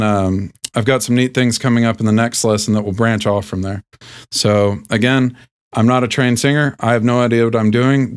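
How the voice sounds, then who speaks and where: Un hombre con voz grave al hablar, que presenta una lección informal de canto con tono relajado, muchas muletillas y un leve acento del sur de Estados Unidos. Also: male voice, smooth. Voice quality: deep speaking voice. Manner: relaxed, self-deprecating, with many fillers and restarts. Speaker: host. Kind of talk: casual singing lesson.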